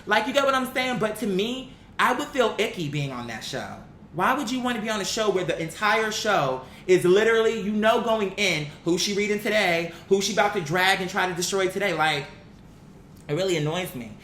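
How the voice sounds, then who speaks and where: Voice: animated, a little fast, fairly bright tone. A vlogger giving casual, opinionated commentary in a blunt, slangy and emphatic way. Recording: roomy echo, faint rumbling background noise.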